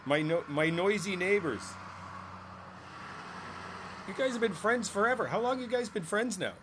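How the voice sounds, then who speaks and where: A man playing an easygoing, friendly older neighbor in a conversational ASMR roleplay, speaking thoughtfully with hesitant pauses. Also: sits high, animated, a bit bright, slight background noise. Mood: cheerful, teasing. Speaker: voice actor in an audio roleplay. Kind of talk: ASMR roleplay, one side of a casual conversation. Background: outdoor ambience with birds.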